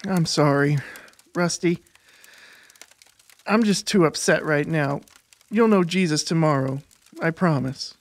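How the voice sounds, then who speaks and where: A woman's voice: low voice, slow pace, pauses often, no room sound. A woman playing an earnest, supportive teenage student in a dramatized audiobook. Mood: upset, apologetic.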